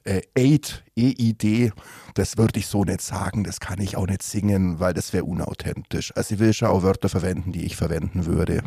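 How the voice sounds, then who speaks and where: A man's voice: low-pitched, steady tone, normal speed, creaky, mellow, breathy edge, no room sound. A man, a band member, chatting in an interview with dry, joking wit. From Swabian side of the Lech, Bavaria. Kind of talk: casual interview chat.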